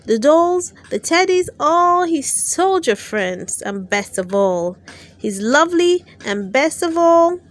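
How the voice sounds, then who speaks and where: Woman, higher voice, animated intonation, unhurried pace, expressive children's storybook narration. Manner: expressive, dramatic emphasis. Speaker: storybook reader and channel host. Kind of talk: read-aloud children's bedtime storytelling.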